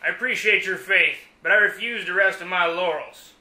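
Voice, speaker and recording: A man's voice: sits high, fairly quick, sharp tone. A man playing a hearty, gallant, folksy cowboy hero in a spoof western radio serial. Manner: hearty, gallant, self-assured. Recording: roomy echo.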